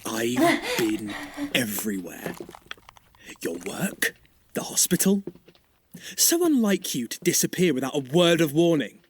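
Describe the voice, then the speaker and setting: An actor playing a menacing, controlling fiancé in an audio drama, sneering, cruel and condescending. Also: male voice, a little high, huge pitch swings, a little slow, creaky, pauses often, a little hiss or hum, full character voice. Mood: sneering, contemptuous.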